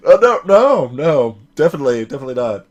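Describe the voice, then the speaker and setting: A man's voice: fairly high pitch, very animated, fast, darkish tone, muffled recording, voice pushed down. A man voicing an anxious, hesitant young male defense lawyer in a video game. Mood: flustered. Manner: stammering, unsure, dryly resigned. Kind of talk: voiced video game dialogue.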